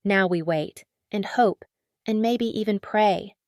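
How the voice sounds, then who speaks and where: A woman's voice: mid-range voice, takes it slowly, darkish tone, no room sound, studio quality, lightly acted. A woman playing a cautious, anxious astrophysicist in a sci-fi audio drama, with a serious, apprehensive manner. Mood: nervous.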